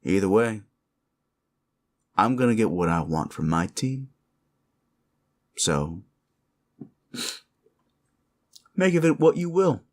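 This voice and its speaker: A man's voice: on the low side, very animated, unhurried, long pauses. A man voicing a sleazy, bullying werewolf boss in an audio roleplay, crude, condescending and threatening. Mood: smug, taunting. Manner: menacing, crude, taunting, condescending. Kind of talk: roleplay audio drama monologue.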